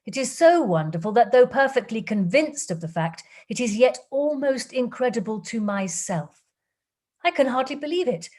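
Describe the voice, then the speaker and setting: A woman puts on a gentle older lady bursting with anxious news, her voice trembling and loud. Her pitch swings widely as she presses each point. The tone sits a touch above the reader's own. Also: animated, normal speed. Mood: earnest.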